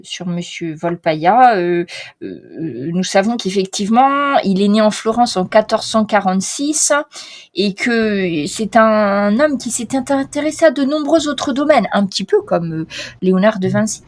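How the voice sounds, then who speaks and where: A woman's voice: medium pitch, animated, unhurried, close to an everyday voice. Woman doing a polite florentine clock lady, formal, hesitant, lots of ums, mid voice. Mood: courteous. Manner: formal, courteous, hesitant with many fillers.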